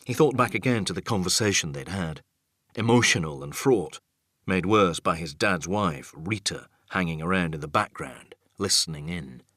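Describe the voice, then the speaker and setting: A man reading a dark thriller audiobook as scripted narration, measured and suspenseful. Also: low voice, lively intonation, no echo, clean studio sound.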